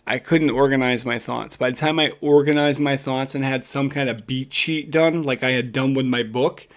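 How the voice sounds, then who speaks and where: A man's voice: mid-range voice, fairly even intonation, normal speed, a bit bright. A man co-hosting a podcast in casual chat, speaking informally and encouragingly, often saying "dude.". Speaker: podcast co-host.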